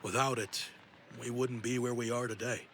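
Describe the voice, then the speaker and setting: A man's voice: medium pitch, flat delivery, normal speed, a bit bright, a little hiss or hum, natural voice. A man voicing a self-assured, sentimental young businessman in an anime game, reflective and assured. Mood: earnest. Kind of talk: anime game dialogue acting. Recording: game music over the voice.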